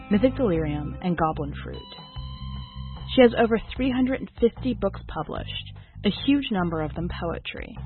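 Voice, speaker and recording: A woman's voice: mid-range voice, narrow, tinny audio. A woman hosting a podcast, reading scripted introductions and short biographies. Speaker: podcast host and editor introducing poetry.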